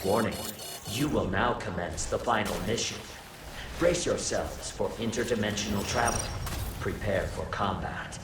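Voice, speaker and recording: A man voicing a calm, cold artificial intelligence in a video game, with formal, clinical delivery as it directs combat tests. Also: fairly low pitch, measured pace, background noise. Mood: cold, commanding. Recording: echoing, distant, under loud music.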